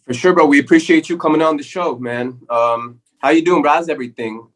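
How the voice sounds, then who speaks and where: A man's voice: fairly high pitch, a little fast. A man from the United States hosting a podcast, chatting casually with plenty of slang. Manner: laid-back slang, calls everyone bro. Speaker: podcast host. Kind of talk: casual chat.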